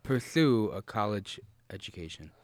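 A man's voice: fairly low pitch, lively intonation, normal speed, no room sound. A man co-hosting a casual podcast interview, chatty and friendly, with fillers as he asks questions. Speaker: co-host.

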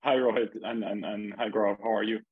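A man's voice: lowish voice, fairly even intonation, fast, slightly rough. A middle-aged man from Turkey, a native Turkish speaker, telling his story casually as a podcast guest. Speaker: podcast guest, startup investor and entrepreneur. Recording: echoey, dull lo-fi call audio.

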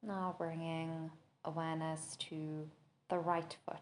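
A woman leading a guided body scan meditation, giving gentle, measured instructions with long pauses between phrases. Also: low voice, level tone, slight background noise. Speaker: podcast host and meditation teacher.

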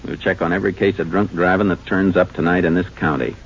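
A man acting a steady, methodical Texas Ranger investigator in a 1950s radio crime drama, terse, businesslike and matter-of-fact. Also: low voice, fast talker, breathy edge. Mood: focused, decisive. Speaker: lawman and narrator. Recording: muffled, noisy 1950s radio recording.

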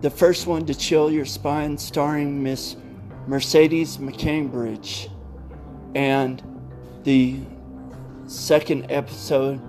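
A man hosting a podcast, talking through upcoming episodes at a slow, deliberate pace. His voice sits a little high. Soft music plays faintly behind him. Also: steady tone. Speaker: podcast host. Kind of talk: casual podcast outro.

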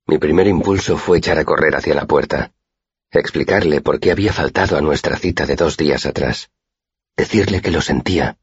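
A man's voice: very deep voice, husky, no room sound. A man reading a first-person literary story as an audiobook narrator, in a dull, muffled recording.

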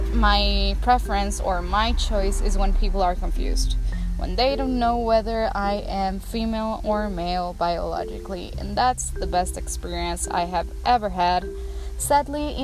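A woman's voice: mid-range voice, poor audio. A young woman hosting a personal podcast, speaking candidly and thoughtfully in English, which is not her first language. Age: young adult. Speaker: podcast host. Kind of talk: personal reflective podcast monologue.

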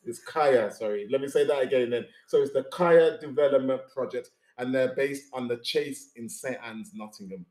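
Hoarse host in an echoey room. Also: male voice, medium pitch, moderate pace, hardly any pauses, a little mellow. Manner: jokey, chatty, stumbles while reading an introduction. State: hoarse, losing his voice. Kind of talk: casual podcast chat.

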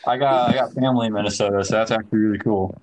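A man's voice: lowish voice, fairly even intonation, rapid-fire, a little mellow, a little hiss or hum, rough recording. A young man from Ohio hosting a casual podcast, easygoing and using slang. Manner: laid-back, casual slang. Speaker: podcast host. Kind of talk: casual chat.

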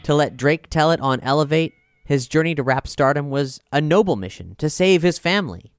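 A man delivers a scripted album review as narration. His voice is smooth and crisp at a middling pitch, recorded close and dry. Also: no echo.

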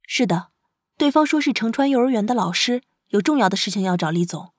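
A woman's voice: a little high, expressive, fairly quick, no room sound, clean studio sound. A woman playing a deferential office assistant in a modern Chinese audio drama. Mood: deferential, businesslike.

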